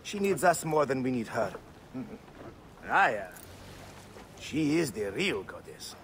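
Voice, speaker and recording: An actor playing a boisterous old ship captain in a video game, bawdy, reckless and theatrical, with a gravelly voice. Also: male voice, medium pitch, sing-song, takes it slowly, slight background noise, full character voice. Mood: jovial, roguish. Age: older. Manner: theatrical, jovial. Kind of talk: video game cutscene acting. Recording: loud music behind the voice.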